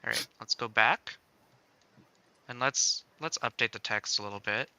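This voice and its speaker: A man giving a casual software demo as a game developer, explaining step by step and thinking aloud. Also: mid-range voice, normal speed, smooth, no room sound. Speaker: game developer demonstrating a tool.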